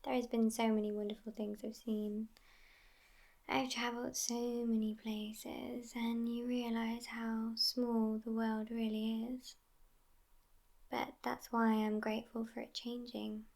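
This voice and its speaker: A woman voicing a sassy, affectionate immortal vampire girlfriend in an ASMR roleplay, hushed and often whispering, with a languid delivery. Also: a little high, little pitch movement, unhurried, creaky. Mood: musing, wry.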